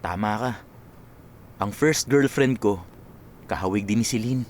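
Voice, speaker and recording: A man's voice: fairly low pitch, unhurried, a little hiss or hum, lightly acted. A man playing an eager, playful young college musician in a radio drama. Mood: teasing, flustered. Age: young adult. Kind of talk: radio drama acting in Filipino and English.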